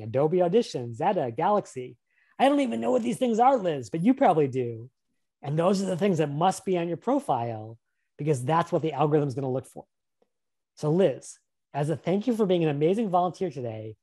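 Man, career workshop presenter on a webcam, lively pitch, mellow tone, upbeat and encouraging. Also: a little fast. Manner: upbeat, encouraging, asks questions. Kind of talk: live webinar presentation. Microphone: webcam on a video call.

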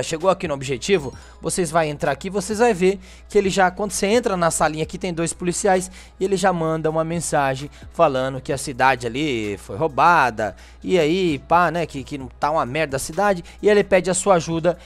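A Brazilian man with a fairly high voice talks through a video game as a channel host. His delivery is informal and chatty, full of short tag questions to check the listener follows. Music plays behind his commentary.

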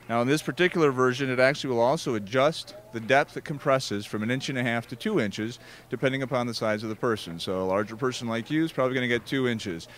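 A man's voice: medium pitch, a bit bright. A man working as a product representative, giving a live step-by-step demonstration at a trade show booth. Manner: explanatory, step-by-step instruction.

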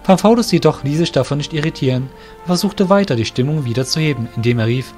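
A man narrating an audio drama in a steady, measured way. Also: a little high, faint music behind.

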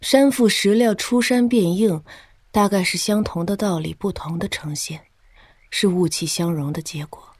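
An actress playing a calm, aloof female sect master in a martial-arts audio drama, measured and detached. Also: mid-range voice, breathy edge, dry recording, close to an everyday voice. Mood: calm, explaining.

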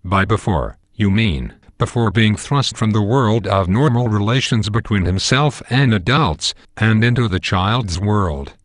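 A low-pitched male text-to-speech voice acts as an animated host. The scripted delivery is formal and explanatory, and the sound is dry. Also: a little slow, few pauses, no echo. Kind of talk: scripted presentation.

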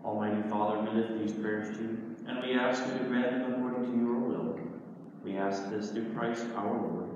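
A man leads Mass prayers with slow, solemn phrasing. His voice rings through a large church and is caught from far away. The sound is muffled and slightly hissy. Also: fairly low pitch, fairly even intonation, darkish tone, heavy reverb, long reverb tail. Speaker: priest celebrating Mass. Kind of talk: liturgical prayer. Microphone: far camera.

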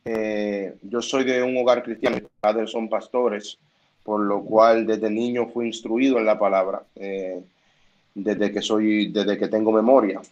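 A man from the Dominican Republic speaking calmly and measuredly on a video call, with pauses and hesitations. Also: fairly low pitch, lo-fi sound. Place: home room, indoors. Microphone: laptop webcam on video call.

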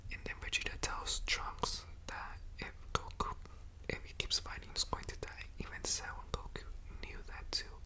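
A young man whispering a casual, rambling ASMR review with slang and swearing, close to a desktop microphone. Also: low-quality recording. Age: young adult. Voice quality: breathy, unvoiced whisper. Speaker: ASMR video creator and reviewer. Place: room at home, indoors. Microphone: large desktop USB microphone, close up.

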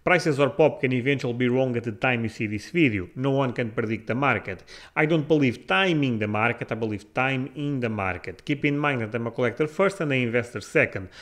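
A man hosting a sports card channel speaks English that is not his first language. His talk is casual and halting, full of fillers and false starts, with emphatic repeats. His voice sits at an ordinary middle pitch for a man. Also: fairly bright tone. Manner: halting, many fillers and restarts, emphatic repetitions. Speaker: soccer card channel host. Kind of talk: casual opinion monologue over slides. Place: voice-over to slides.

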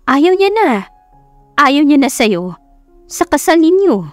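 A Filipino woman voicing a gentle older mother in an audiobook, careful and pleading with her grown son. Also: high voice, sing-song, slow, no echo, soft background music, pitched above the performer's own voice, heavily acted. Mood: sad, pleading.

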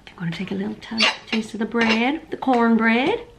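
A woman's voice: on the high side, moderate pace, vocal fry. A woman, chatting casually and emphatically to a handheld camera as a travel vlogger. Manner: expressive, emphatic, informal. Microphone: camera at arm's length. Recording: noisy handheld camera, street and room background.

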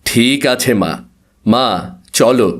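A pious father, acted by a man, in a moral cartoon. He is earnest and gently admonishing, with a middle-pitched voice. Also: slow. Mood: patient, advising. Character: pious, gently reproving father of a spoiled girl. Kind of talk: cartoon moral-tale dialogue.

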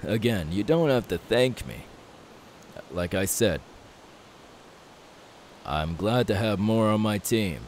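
A man playing a confident, friendly young pirate captain in an ASMR audio roleplay, easygoing, unhurried and reassuring. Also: lowish voice. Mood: warm, sincere. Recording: steady background hiss.

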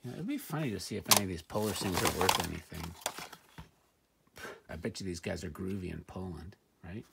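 Deep, gravelly, wry man. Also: fairly quick. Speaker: reseller showing thrift finds. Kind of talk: casual off-the-cuff unboxing commentary. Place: indoor room at home, at a table. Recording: noisy home recording with handling clicks.

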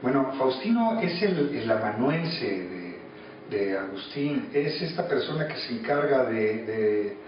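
Halting man, very echoey. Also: medium pitch, a little hiss or hum. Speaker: actor being interviewed. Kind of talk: casual interview talk. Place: small office indoors. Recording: very echoey, distant, dull room.

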